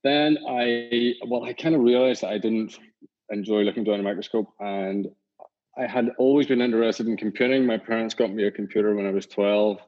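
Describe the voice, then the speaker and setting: Middle-aged Northern Irish man, founder being interviewed, lowish voice, rambling and easygoing, dull lo-fi sound. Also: brisk. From Northern Ireland. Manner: relaxed, rambling, many fillers and restarts. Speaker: company founder and CEO, guest. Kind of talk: casual interview talk. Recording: dull lo-fi audio.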